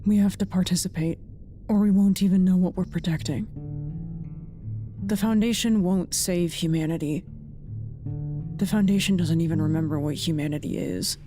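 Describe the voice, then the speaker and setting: A woman acting in an audio drama as a blunt, guarded, quietly caring colleague, speaking plainly and earnestly. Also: lowish voice, steady tone, moderate pace, long pauses, music in the background, natural voice. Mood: earnest, urging.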